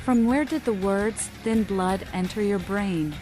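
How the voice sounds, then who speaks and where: A woman's voice: mid-range voice, unhurried, darkish tone, soft background music. A woman narrating gameplay and speaking cryptic lines for her player character in a theatrical manner. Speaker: gaming creator voicing her player character.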